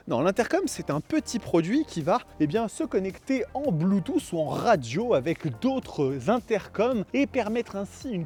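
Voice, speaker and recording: High-pitched Frenchman presenting over music. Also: a little mellow. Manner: chatty, addresses viewers directly. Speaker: motorcycle YouTube host. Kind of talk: casual explainer, product unboxing and demonstration.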